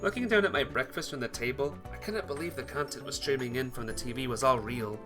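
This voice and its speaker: A man's voice: mid-range voice, fast talker, over music. A man reading visual novel narration aloud as a playthrough host, occasionally stumbling over the text.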